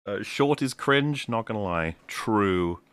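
A man's voice: fairly low pitch, animated, slow pace, fairly bright tone, smooth, dry recording. A man hosting a casual stream chat, rambling loosely through viewer messages and swearing now and then. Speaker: host reading viewer messages.

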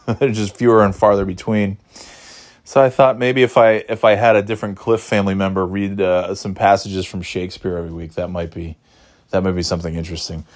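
A middle-aged man from New York hosting a solo podcast, talking casually in a rambling, wry way with many restarts. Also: fairly low pitch. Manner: rambling, self-interrupting, wry. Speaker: podcast host.

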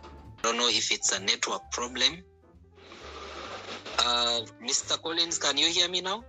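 A man hosts an online panel over call audio, polite and full of hesitant ums and uhs. His voice is very bright and of middle pitch. Faint music plays behind him. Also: lively intonation, a little slow. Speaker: host, panel moderator.

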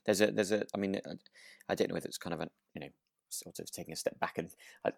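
Deep, creaky-voiced man. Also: steady tone, quick pace, no echo, studio quality. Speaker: podcast co-host. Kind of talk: casual discussion of a radio drama.

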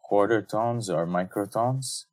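Guest musician from Lebanon, man, deep steady voice, laid-back. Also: takes it slowly, dry recording. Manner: relaxed, steady storyteller with fillers. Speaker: band member guest. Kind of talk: casual interview chat.

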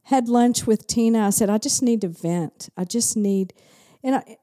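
Podcast co-host, mellow mid-range voice, open and candid, light teasing. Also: female voice, on the high side, moderate pace. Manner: candid, teasing, laughs easily. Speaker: podcast co-host and executive pastor. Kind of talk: casual married-couple podcast chat.

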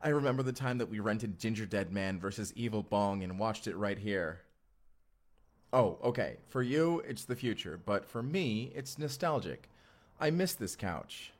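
A man's voice: lowish voice, animated, normal speed. A young man acting a goofy, affectionate husband from the future in an intimate audio drama, speaking casually and hesitantly straight to the listener. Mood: wistful, tired. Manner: casual, hesitant, sighing, talks directly to listener. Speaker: actor in audio roleplay. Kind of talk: first-person immersive audio roleplay, intimate conversational acting.

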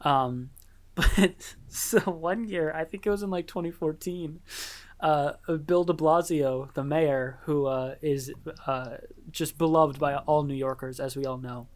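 A young man hosting a podcast over a voice chat, rambling, laughing often and swearing casually, with a high-pitched voice. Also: unhurried. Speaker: podcast host. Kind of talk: casual chat on a remote call. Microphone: computer mic over a voice chat app.

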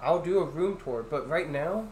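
A young man chats casually as a podcast host, his loud, deep voice carrying as he rambles through fillers and restarts. His pitch sits a little above most men's. The room is echoey, with a faint hiss of background noise. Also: animated, moderate pace. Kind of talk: casual chat.

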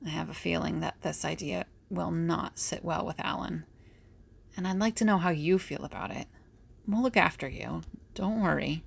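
Woman playing practical audio drama heroine, dry and a bit exasperated, lowish creaky voice. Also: moderate pace, heavily acted. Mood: earnest, explanatory. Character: practical, easily exasperated young woman with visions.

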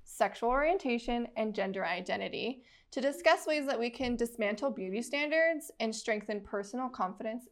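Outspoken woman podcast co-host, higher voice. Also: lively intonation, normal speed, hardly any pauses. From United States. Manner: outspoken, earnest. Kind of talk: casual chat.

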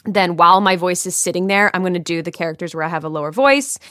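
A woman's voice: fairly low pitch, quick pace, pushed and bright, smooth, dry recording, clean studio sound. A woman voice actor chatting on a podcast, acting out her own inner thoughts as she talks. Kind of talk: casual chat.

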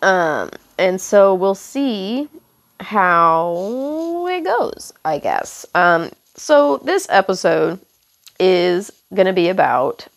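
A young woman hosting a podcast, hoarse and stuffed up from illness, rambling casually with lots of fillers and apologies while walking around her house on a headphone mic. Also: mid-range voice, sing-song, extremely slow. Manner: meandering, many fillers and apologies, casual swearing. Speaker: podcast host. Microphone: headphone mic into phone.

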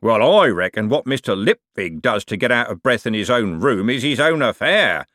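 A man voicing a quick-witted, gruff coachman in an audiobook, bossy, blunt and slangy. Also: mid-range voice, very animated, a bit bright, no echo, studio quality. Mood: knowing, brisk.

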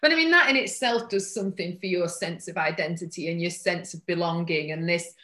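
A woman's voice: on the low side, fairly quick, sharp tone. A woman hosting an interview, supportive and chatty, often murmuring agreement. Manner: supportive, frequent back-channel agreement. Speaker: podcast host. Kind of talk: conversational interview.

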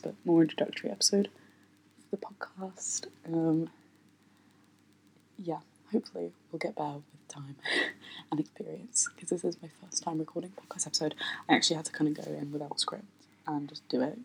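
Low husky-voiced woman hosting a podcast. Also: a little mellow, rough recording. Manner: hesitant, many ums, light laughs. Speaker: podcast host. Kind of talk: unscripted solo podcast chat.

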